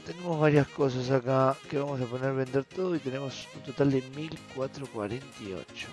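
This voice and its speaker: A young adult man with an Argentine accent and a hoarse voice, commenting casually and slangily as he streams. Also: medium pitch, brisk, a little mellow, faint music behind. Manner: slangy, offhand. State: hoarse from shouting. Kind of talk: casual gaming video commentary. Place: small room at home, indoors.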